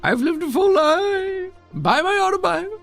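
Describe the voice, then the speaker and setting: A man voicing a wry, resigned elderly woman in a high falsetto for a tabletop game. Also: expressive, normal speed, creaky, a bit bright, soft background music, heavily acted. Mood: wry resignation.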